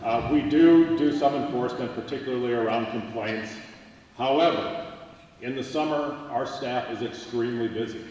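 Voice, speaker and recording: A man in his fifties, a park police chief, answering an audience question at a community meeting in a measured, matter-of-fact way. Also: mid-range voice, a little mellow, a little hiss or hum. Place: large meeting hall. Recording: echoey hall, far from the mic.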